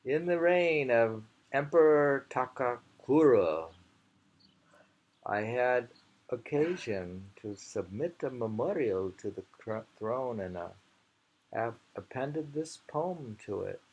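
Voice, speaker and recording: Man, poetry reader, heavy vocal fry, very slow delivery, casual musing commentary. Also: medium pitch, expressive, a little mellow, muffled recording. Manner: unhurried, musing, informal asides.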